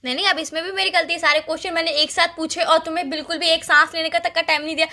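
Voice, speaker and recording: Woman playing young girlfriend, shrill high pitch, sharp tone, plaintive, accusing, quarrelsome. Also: fairly even intonation, racing, exaggerated voice. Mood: sarcastic, indignant. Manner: nagging, plaintive, rattling off complaints. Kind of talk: heated relationship argument, recorded-call skit.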